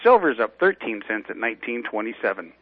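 A man, a financial advisor, reading a stock market report in a brisk, steady way. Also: mid-range voice, no room sound, narrow, tinny audio.

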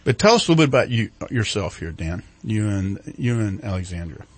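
A man's voice: fairly low pitch, very animated, brisk, no echo. A man co-hosting a radio talk show, asking questions in a rambling, stumbling way. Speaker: radio co-host.

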